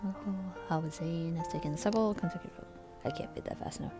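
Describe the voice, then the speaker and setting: Woman, game streamer, slightly low voice, casual chatty asides, soft music behind. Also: fast. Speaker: live streamer. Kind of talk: casual game stream chat with reading aloud.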